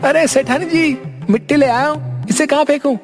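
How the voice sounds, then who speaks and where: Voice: high voice, fairly even intonation, normal speed, faint music behind. A performer voicing a cheeky young male servant in a cartoon story, exaggeratedly deferential and literal-minded. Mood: mock obedient. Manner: exaggeratedly deferential, singsong obedience. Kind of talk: Hindi cartoon story dialogue.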